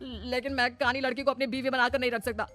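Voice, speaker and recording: A woman's voice: high-pitched, steady tone, fast talker, bright, forceful tone. Woman playing a whiny, greedy young groom. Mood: aggrieved. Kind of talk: scripted Hindi cartoon dialogue. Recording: music behind the voice.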